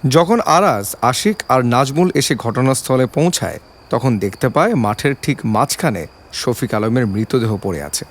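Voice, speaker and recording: A man's voice: mid-range voice, lively intonation, moderate pace. A man giving a dramatic scripted narration of an audio story.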